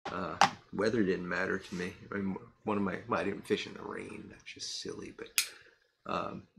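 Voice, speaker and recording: A middle-aged man chatting casually to camera in a cluttered workshop, rambling with fillers and pausing to puff on a pipe. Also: deep voice, gravelly, slight background noise. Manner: relaxed and rambling, many fillers and restarts; pauses to puff on a pipe while talking. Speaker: pipe-smoking vlogger. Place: cluttered workshop room, indoors.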